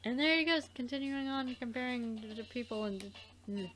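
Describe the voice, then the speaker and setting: A woman's voice: fairly high pitch, moderate pace, fairly bright tone. A woman doing casual game commentary with a co-host, bantering in an exasperated way and swearing freely. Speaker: let's player. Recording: game music behind the voice. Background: game music.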